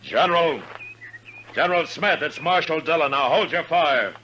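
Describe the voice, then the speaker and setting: An actor playing a stern, weary frontier marshal who speaks and narrates in a terse, commanding way in a 1950s radio western. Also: male voice, on the high side, expressive, normal speed, sharp tone, dull audio, slight background noise. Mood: calling out. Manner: terse, plain-spoken, commanding. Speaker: lead character and narrator.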